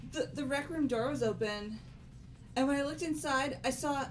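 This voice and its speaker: Woman playing a frantic, stammering, high-pitched teenage girl. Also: moderate pace, faint music behind, lightly acted, low-quality recording. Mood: panicked. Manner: stammering, breathless urgency. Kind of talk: dramatic video game voice acting.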